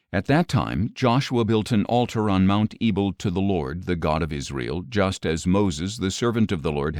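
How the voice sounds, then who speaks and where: Deep-voiced man reading scripture, dry sound. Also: darkish tone, no echo. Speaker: audiobook Bible narrator.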